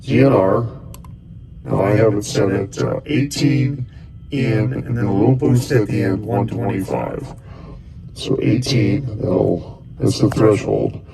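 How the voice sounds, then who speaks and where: A man with a low, gravelly voice walks through settings in a casual, step-by-step tutorial. He thinks aloud as he presses buttons and often restarts his phrases. Crowd chatter murmurs in the background. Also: measured pace, poor audio. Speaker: costuming hobbyist giving a tutorial.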